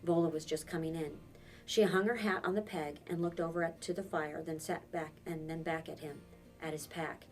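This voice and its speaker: A woman's voice: low-pitched, moderate pace, soft background music. Woman reading a novel aloud, distant mic. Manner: expressive, acts out the dialogue. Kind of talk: children's novel read-aloud.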